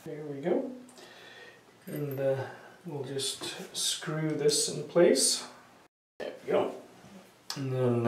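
A man's voice: mid-range voice, darkish tone. A man with a sniffly cold explains a repair step by step, with many "uh" fillers as he works with his hands at a workbench. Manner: unhurried, many "uh" fillers, talking while working with hands. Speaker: hobbyist repair tutorial maker. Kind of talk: casual step-by-step repair tutorial. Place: small room at a workbench, indoors. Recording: roomy, distant camera audio with faint noise.

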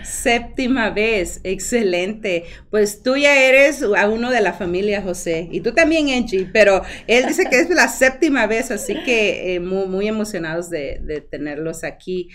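A woman hosting a community morning show, chatting casually with her guests and often pausing on fillers. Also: mid-range voice, lively intonation. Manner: warm, chatty, frequent fillers like "ah" and "am". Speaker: host. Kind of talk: casual chat show interview.

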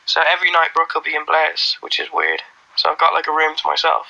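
Bright-voiced young man chatting on a livestream. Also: on the high side, quick pace, creaky, poor audio. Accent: faint Irish, mostly worn off. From Ireland. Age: young adult. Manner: rambling, relaxed, many fillers and restarts. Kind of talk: casual livestream chat reading comments. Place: small indoor room. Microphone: phone held close.

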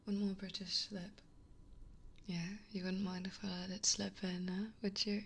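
A young woman streamer whispering softly and gently, chatting with viewers in an ASMR livestream. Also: medium pitch, level tone, normal speed, slight background noise. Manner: soft whispering, gentle and reassuring.

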